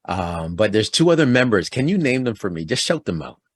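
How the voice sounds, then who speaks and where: A man hosts a relaxed interview, his pitch bouncing up and down as he chats and laughs along. His voice has a husky edge. It sits close and dry, with no room around it. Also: brisk, dry recording. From Toronto, Canada. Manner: upbeat, chatty, laughs easily. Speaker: podcast host. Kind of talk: casual interview.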